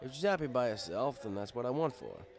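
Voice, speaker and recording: A fatherly male pony bartender , voiced by a man narrates his own audiobook tale. He is easygoing and wry, with an unhurried conversational delivery at a middle pitch. Faint music plays behind him. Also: big pitch swings, vocal fry. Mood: reflective. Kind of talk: first-person audiobook narration in character.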